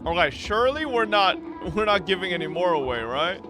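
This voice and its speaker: A woman streaming game reactions. Her delivery is casual, blunt and sweary, with hugely swinging pitch. Faint music sits behind her. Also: sing-song, a little fast.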